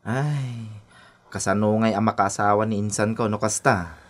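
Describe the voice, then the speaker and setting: A man with an Ilocano accent acting a brash, smooth-talking young cousin and suitor in a radio drama. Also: on the low side, moderate pace, background noise, lightly acted. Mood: teasing, probing.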